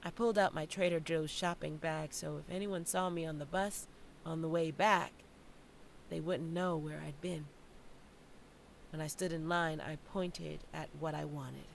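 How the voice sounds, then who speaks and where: An actress playing a wry, candid young theater graduate in a dramatic first-person audio play monologue addressed to the audience. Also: female voice, deep voice, steady tone, unhurried, vocal fry, a little hiss or hum. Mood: ashamed, bitter. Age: young adult. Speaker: actor narrating an audio play.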